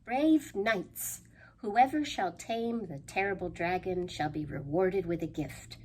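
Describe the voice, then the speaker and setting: Woman voicing a storybook king, pompous, fed up, declamatory, formal, measured pace, faint background noise. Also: lowish voice, darkish tone. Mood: proclaiming.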